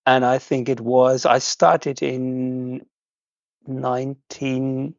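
A man from the Netherlands talks in relaxed, halting English, restarting often. The audio is dull and lo-fi with a dry, close sound. His pitch sits at an ordinary middle level. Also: gravelly, dry recording. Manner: hesitant, halting, frequent restarts and laughs. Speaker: guest host. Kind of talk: casual chat.

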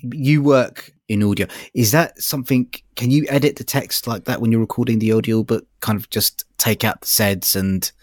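A man's voice: mid-range voice, steady tone, moderate pace, hardly any pauses, no room sound, clean studio sound. A man co-hosting a review podcast, speaking casually and tentatively as he searches for his words. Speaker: podcast co-host reviewing audiobooks.

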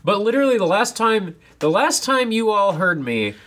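A young man co-hosting a casual podcast from a home basement, a bit congested, animated and self-deprecating. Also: high-pitched, normal speed. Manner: animated, self-deprecating, sips tea while talking. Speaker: podcast co-host. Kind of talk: casual chat.

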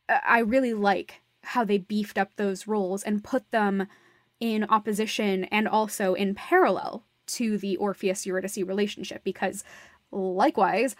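A woman's voice: mid-range voice, no echo. Enthusiastic woman in a dry, close recording. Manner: emphatic, gushing, casually sweary. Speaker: podcast co-host. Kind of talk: animated casual discussion and critique.

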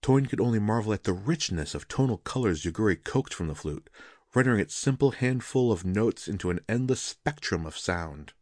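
Low-voiced man narrating dramatic fiction. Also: expressive, normal speed, a little mellow, dry recording, clean studio sound. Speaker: story narrator.

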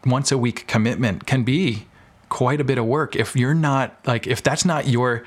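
A man's voice: mid-range voice, moderate pace. Male podcast guest, mid-range voice, casual interview chat, informal, candid, rambling. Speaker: podcast guest, filmmaker and audio drama creator.

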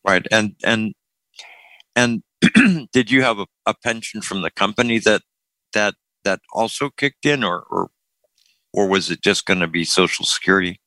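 A man's voice: on the low side, lively intonation, normal speed, pushed and bright, no room sound, rough recording. An older man hosting a casual group talk, often repeating words as he starts a thought. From Florida.

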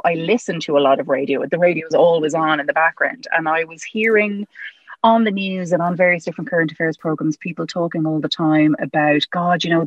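A woman's voice: low-pitched, no echo, dull audio. A woman chatting as a guest, telling stories with easy laughter. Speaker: guest, podcast presenter. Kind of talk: casual chat.

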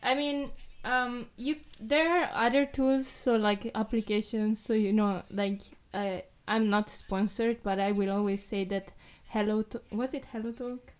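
A woman's voice: a little high, steady tone, a little slow, hardly any pauses, clean tone. A young woman, hosting a casual chat and rambling with many fillers. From Europe.

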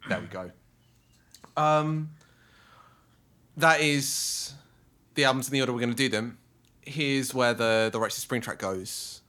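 A man hosting a music podcast in casual chat, joking and unhurried, with some swearing. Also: medium pitch, bright, forceful tone. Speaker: podcast host.

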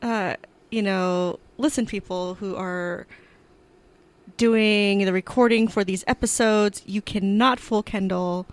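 A woman's voice: mid-range voice, steady tone, takes it slowly. A woman co-hosting a podcast in casual chat, upbeat and teasing, with frequent restarts. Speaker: podcast co-host.

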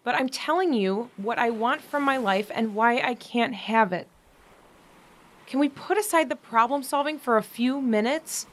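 A woman acting in a modern audio drama as a gentle, ailing young sister, earnest and reflective, with quiet resolve. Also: a little high, normal speed, close to an everyday voice. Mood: frustrated.

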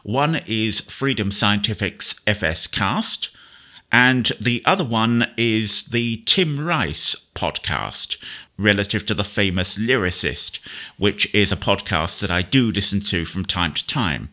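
A man gives a spoken software demonstration with a bright, forward voice that sits slightly low. He explains each step methodically and precisely, in the manner of a practised instructor. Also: lively intonation, takes it slowly. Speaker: software tutorial presenter.

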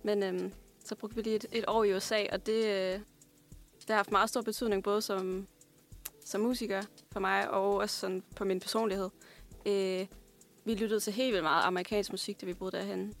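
Creaky-voiced Danish woman, mid-pitched. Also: flat delivery, soft background music. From Denmark. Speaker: guest singer, songwriter and pianist. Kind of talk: casual interview answers.

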